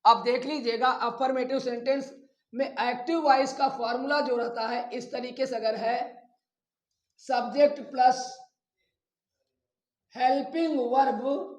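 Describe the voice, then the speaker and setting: A man teaching a grammar lesson step by step, repeating phrases deliberately and addressing his students directly. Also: extremely high pitch, fairly even intonation. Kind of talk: classroom lesson, explaining rules step by step. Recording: echoey, roomy sound.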